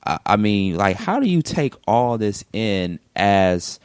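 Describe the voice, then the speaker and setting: Man, radio host, very low voice, smooth, dry sound, rambling questions. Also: big pitch swings, takes it slowly, no room sound. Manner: rambling, long winding questions with restarts. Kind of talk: morning radio interview.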